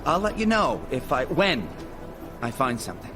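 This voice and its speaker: Flustered work guy man acting in a video game, halting stammer, voice jumps around, music under it. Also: mid-range voice, sing-song, lightly acted. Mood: flustered, reluctant. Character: hesitant, harried male case-working colleague. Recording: music behind.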